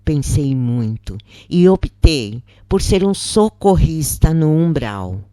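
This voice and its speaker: A narrator reading a scripted first-person account in measured phrases with pauses. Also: medium pitch, sing-song, mellow, dry recording, clean studio sound.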